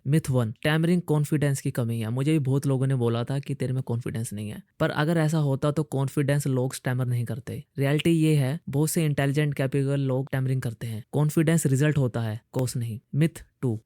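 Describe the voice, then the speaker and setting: A man's voice: on the high side, warm tone, no room sound, clean studio sound. A man hosting a scripted explainer, speaking methodically point by point and mixing in English terms. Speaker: host of a speech-coaching video series. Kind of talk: scripted explainer spoken straight to viewers.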